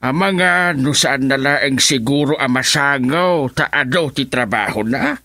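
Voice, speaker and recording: Nalawag ti timekna a lolo. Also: male voice, mid-range voice, unhurried, pushed and bright, no room sound. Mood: easygoing, reassuring. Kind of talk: Ilocano radio drama acting.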